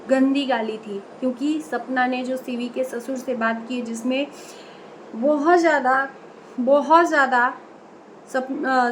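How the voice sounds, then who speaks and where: High-voiced woman reacting for her viewers, earnest and opinionated, lots of restarts and "uh"s, faint noise behind. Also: poor audio. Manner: many fillers and self-corrections. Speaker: reaction vlogger. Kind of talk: direct-to-camera commentary.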